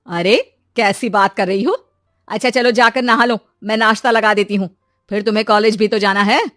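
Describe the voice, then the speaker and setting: A woman playing a brisk, scolding middle-aged mother in an animated story. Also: medium pitch, dry recording, studio quality, faint music behind, lightly acted. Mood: bossy. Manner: loud, nagging.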